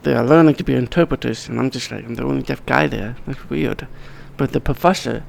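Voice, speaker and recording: A middle-aged man with a deeply gravelly, fry-heavy voice talks in a casual, blunt way and swears freely. He often restarts his sentences, and a faint hiss sits under his words. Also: a little fast. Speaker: podcast guest, wedding videographer. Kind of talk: casual conversation.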